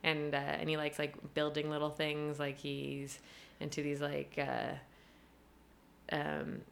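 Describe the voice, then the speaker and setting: Young woman talking low and loose, lots of um and like, laughing a lot. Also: completely flat delivery, slow, vocal fry, slight background noise. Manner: chatty, many fillers, laughs often. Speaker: costume designer, guest. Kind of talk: casual conversational interview.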